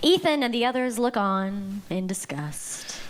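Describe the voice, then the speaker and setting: A woman reading the stage directions at a live table read in a measured, dramatic way. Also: mid-range voice, very animated.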